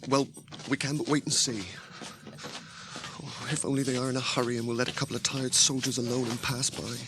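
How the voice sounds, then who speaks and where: A man's voice: medium pitch, flat delivery, a little fast, heavy background noise, lightly acted. An actor playing a loyal, practical male hobbit servant who talks in a homely, plain-spoken way, in an old radio drama. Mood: resigned. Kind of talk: 1980s radio drama acting.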